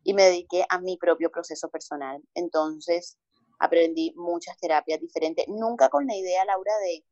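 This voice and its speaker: Brief: Colombian coastal woman, fairly low voice, expressive storyteller, dull dry recording. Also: moderate pace, no echo. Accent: Colombian Caribbean coast. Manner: expressive, repeats words for emphasis. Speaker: guest, holistic psychologist. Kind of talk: relaxed conversational storytelling.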